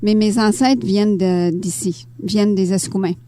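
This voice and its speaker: An older woman from Ontario with a Canadian French accent, answering interview questions conversationally and pausing often as she thinks. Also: medium pitch, steady tone, mellow, no echo. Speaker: interview guest, author and teacher. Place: radio studio.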